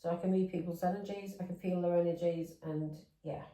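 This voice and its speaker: Woman, English accent with Irish touches, lowish voice, tarot reading, rambling and repetitive, echoey room, set back from the mic. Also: fairly even intonation, fairly quick, mellow, far from the mic. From England. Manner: rambling, repeats words, chatty asides. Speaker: tarot reader. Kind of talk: casual tarot reading monologue.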